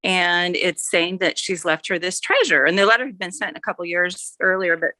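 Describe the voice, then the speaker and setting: Bright-voiced chatty woman, lowish pitch. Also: normal speed, no echo. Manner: chatty, rambling, self-correcting. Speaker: author guest. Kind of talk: casual interview chat.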